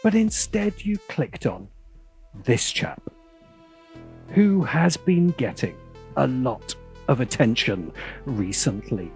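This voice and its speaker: A British man narrating a scripted opinion video essay as its host, with a sardonic, theatrical and deliberate delivery. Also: mid-range voice, sing-song, creaky, darkish tone, faint music behind.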